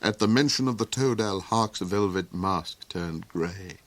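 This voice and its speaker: A man narrating a fairy tale in an audiobook, with a theatrical, unhurried storytelling manner. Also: low voice. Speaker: reader.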